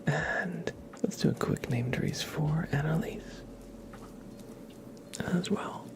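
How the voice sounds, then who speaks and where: A man whispering gently as he hosts an ASMR live stream, chatting to viewers in a breathy voice and often repeating short phrases. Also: a little high, slow. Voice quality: breathy whisper with creaky edges.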